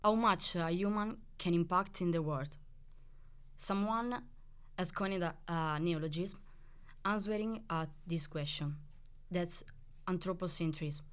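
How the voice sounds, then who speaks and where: A woman with a lowish, clear and resonant voice reads carefully for a student podcast. Her delivery is steady, with a few small slips. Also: a little slow, long pauses. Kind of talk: reading a prepared segment on the environment.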